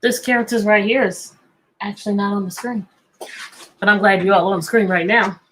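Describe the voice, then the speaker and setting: A woman teacher reading a picture book aloud to children, animated and coaxing, often repeating questions to draw out answers. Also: medium pitch, a little fast, a little hiss or hum. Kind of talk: interactive children's read-aloud.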